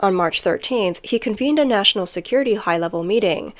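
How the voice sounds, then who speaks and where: A woman's voice: medium pitch, lively intonation, brisk. A woman reading scripted news narration, including translated quotes, as the narrator of a report.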